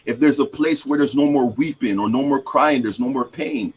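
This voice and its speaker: A man's voice: mid-range voice, normal speed, slight background noise. A man speaking on a webinar panel over a video call, reflective and faith-driven, with hesitant restarts.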